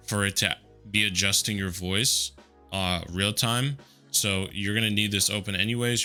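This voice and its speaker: A man with a deep voice walking through software settings in a relaxed, conversational way, with a headset mic. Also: clean tone. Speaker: tutorial host. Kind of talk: casual screen-recorded software tutorial.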